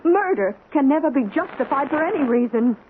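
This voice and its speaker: A scolding, morally outraged woman in a radio play. Her voice is high and swings widely. The recording is narrow and phone-like with faint noise. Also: animated, brisk. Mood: indignant. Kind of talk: radio drama acting. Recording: tinny band-limited radio sound, faint noise.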